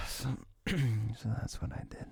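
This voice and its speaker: A young man talking in a casual, unscripted, intimate ASMR style, rambling and halting with a lot of "like", his gravelly voice often sinking toward a whisper. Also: huge pitch swings, dry recording. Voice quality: low, gravelly, breathy, often dropping near a whisper. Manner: rambling, halting, frequent "like", soft chuckles. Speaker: ASMR audio creator playing a comforting boyfriend.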